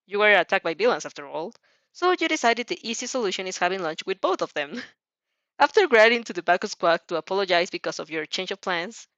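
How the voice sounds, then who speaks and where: A woman's voice: mid-range voice, fairly quick, no echo, lo-fi sound. Giggly woman reading fan fiction. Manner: giggly, expressive, breaks off to comment. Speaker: fan fiction narrator and reactor. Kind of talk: dramatic reading with casual reactions.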